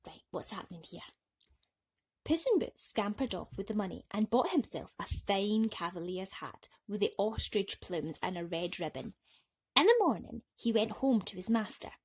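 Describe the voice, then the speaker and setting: Woman telling kids a bedtime story, theatrical, bit husky, pitch swings loads. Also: lively intonation, moderate pace. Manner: theatrical, addresses young listeners. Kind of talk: animated bedtime story reading for children.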